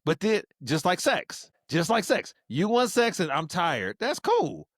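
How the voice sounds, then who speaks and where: A man's voice: high voice, big pitch swings, unhurried, fairly bright tone, dry recording, clean studio sound. A man co-hosting a casual relationship discussion, making his points emphatically and repeating phrases. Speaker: podcast co-host. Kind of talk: casual relationship debate chat.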